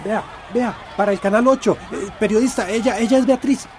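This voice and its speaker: A man playing an eager, hurried onlooker at a street protest in a radio drama, with a crowd behind. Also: high-pitched, close to an everyday voice.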